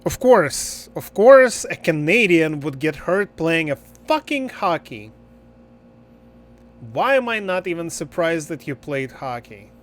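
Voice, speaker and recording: A man's voice: on the high side, big pitch swings, takes it slowly. A man livestreaming a game and chatting casually, in a blunt, joking and profane way. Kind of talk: casual livestream chat while gaming.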